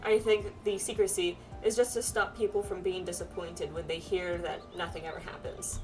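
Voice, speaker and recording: A woman voicing a serious, dutiful young pilgrim from a fantasy game, in an earnest, measured manner. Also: fairly low pitch, little pitch movement, recorded from a distance, music in the background, close to an everyday voice, poor audio. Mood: matter-of-fact.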